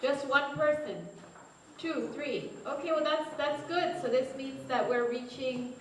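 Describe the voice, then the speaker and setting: Woman, slightly high voice, friendly speaker, lots of ums, big hall echo. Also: measured pace, a bit bright. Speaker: city community affairs advisor. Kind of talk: public talk at a seminar. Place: large echoey hall. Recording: echoey hall, distant, faint noise.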